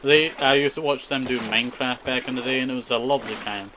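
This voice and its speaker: A male game streamer from Scotland, a native Scottish Gaelic speaker, talks in a medium-pitched voice. He rambles casually through his commentary, echoing his own short phrases as he chats to viewers. Clear noise sits behind the voice. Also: steady tone, quick pace. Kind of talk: casual live gaming commentary with chat.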